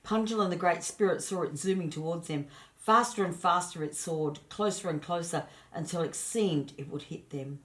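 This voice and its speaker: A woman storyteller narrating a scripted children's tale in an expressive, deliberate manner. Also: fairly low pitch.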